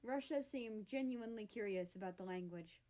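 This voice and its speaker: A young woman reading her own fan story aloud in a soft, unhurried manner. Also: medium pitch. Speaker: fanfiction reader.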